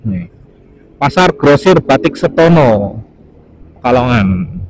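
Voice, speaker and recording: A man's voice: medium pitch, sing-song, slow pace, poor audio. A young man, chatting casually to viewers as he commentates on his motorcycle ride. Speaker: motovlogger. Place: outdoors, riding a motorcycle on a highway. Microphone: helmet-mounted mic. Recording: clear engine and traffic noise.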